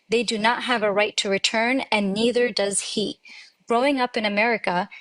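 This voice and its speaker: A woman's voice: medium pitch, a bit bright, no room sound, low-quality recording. Woman hosting a live stream, friendly intros, lots of ums, dry close sound. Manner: welcoming, with frequent "um" fillers. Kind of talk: casual hosting of a live panel.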